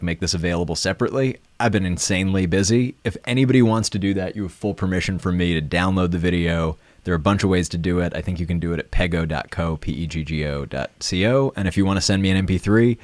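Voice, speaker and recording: Low-pitched man in casual livestream chat. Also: dry recording. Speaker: livestream host. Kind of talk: casual live stream chat.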